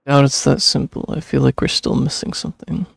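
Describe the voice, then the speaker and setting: A man giving casual playthrough commentary as a game streamer, with a gravelly, husky voice. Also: mid-range voice, fairly even intonation, fairly quick.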